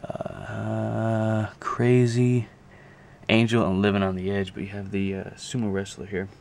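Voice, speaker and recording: A man giving a casual, unscripted show-and-tell to a camera, talking haltingly and thinking aloud as he goes. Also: on the low side, completely flat delivery, darkish tone. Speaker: video creator showing a music collection. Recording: clear background noise, amateur camera audio.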